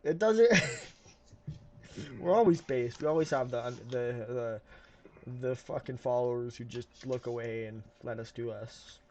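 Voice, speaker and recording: A man's voice: mid-range voice, measured pace. Teasing man on muffled lo-fi audio. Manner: crude, teasing, deadpan jokes. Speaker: podcast co-host. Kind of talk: casual chat. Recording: dull lo-fi audio.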